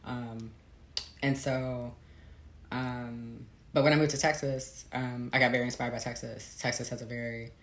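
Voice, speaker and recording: An American woman from the Midwest being interviewed as an author, explaining conversationally with hesitant pauses and hedges. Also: rumbling low, level tone. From Midwestern United States. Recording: roomy echo, faint background noise.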